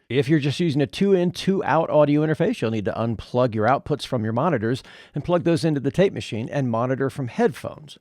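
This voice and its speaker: Male tutorial narrator, mid-pitched, explanatory and methodical, close dry studio sound. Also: darkish tone, no room sound. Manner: explanatory, step by step. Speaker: presenter, audio producer.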